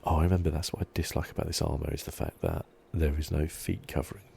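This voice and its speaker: Male gaming ASMR host: hushed, relaxed commentary, dark warm tone with heavy vocal fry, close-miked. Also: fast, slight background noise. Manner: soft-spoken, low-key.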